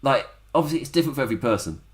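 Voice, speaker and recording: A man's voice: medium pitch, lively intonation, racing. Chatty man reviewing wrestling. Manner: chatty, rambling, laughs often. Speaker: wrestling podcast host. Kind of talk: casual solo review. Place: home setup on camera.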